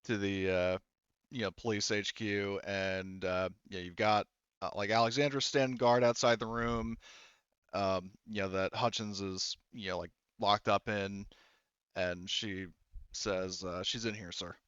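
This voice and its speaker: Casual man running a game, clear voice. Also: on the low side, steady tone, a bit bright, no echo, lo-fi sound. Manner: casual, halting, profane. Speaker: game master. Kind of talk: narrating a tabletop game.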